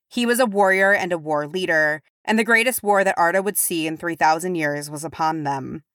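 A woman's voice: sits low, fairly bright tone, no room sound, clean studio sound. A woman reading a scripted story aloud as an audiobook narrator. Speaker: audiobook reader.

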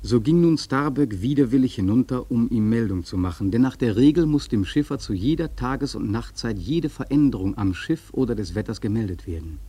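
A man narrating a literary radio drama from a script in a mellow, husky voice. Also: mid-range voice, lively intonation, brisk, few pauses.

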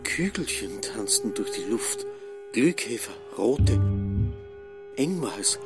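A man's voice: medium pitch, huge pitch swings, slow. A man giving dramatic radio play narration in fragments. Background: loud music.

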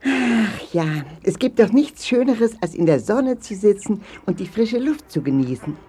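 A woman voicing a clever, cheerful hare in a children's audio play, with a jolly, animated manner. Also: medium pitch, a little slow, breathy edge. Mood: contented.

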